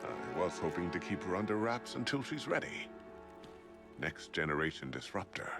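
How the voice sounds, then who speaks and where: A man's voice: deep voice, big pitch swings, moderate pace, over loud music. A man voicing an older tech expert who is polite and fatherly, with dry humor. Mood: courteous. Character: older male tech expert, calm and courteous. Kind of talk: video game voice acting.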